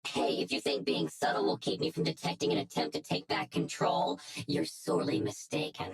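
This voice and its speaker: A woman voicing a menacing, gloating hacker in a video game, with a taunting, commanding manner and a raspy voice. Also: low-pitched, level tone, a little fast, heavily acted. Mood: menacing, impatient. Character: menacing, gloating hacker who seized the system. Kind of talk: dramatic video game acting.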